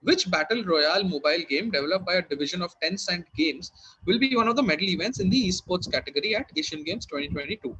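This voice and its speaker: A man's voice: sits high, expressive, fast, bright, forceful tone, breathy edge, highs cut off. A man working as quiz master, reading formally worded questions to contestants and giving brief verdicts.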